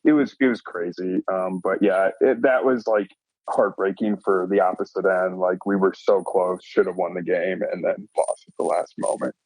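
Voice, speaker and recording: A man from Minnesota, a listener guest recounting a sports loss in halting speech filled with "like" and "um". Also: low voice, fairly even intonation, a little mellow, dry recording, rough recording. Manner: halting, fills with "like" and "um". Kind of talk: casual podcast storytelling.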